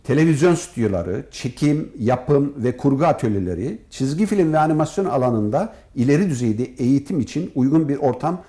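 An older man, a professor answering interview questions in formal wording, speaking in a measured way with frequent pauses. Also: medium pitch, expressive, mellow. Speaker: professor, head of an animation department.

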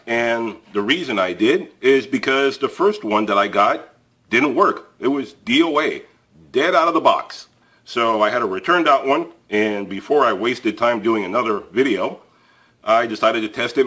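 A man's voice: mid-range voice, expressive, normal speed, fairly bright tone, husky. A man hosting a tool review channel, talking casually to the camera with plenty of fillers and restarts while handling a tool on a table. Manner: chatty and plain-spoken, frequent fillers and restarts. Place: indoors at a table, filmed from above.

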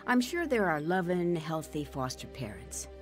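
A woman's voice: low voice, big pitch swings, normal speed. A woman looking back on a hard childhood, sober narration, music behind. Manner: reflective, sober. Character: adult woman recounting her painful youth, reflective. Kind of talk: first-person dramatized testimony narration.

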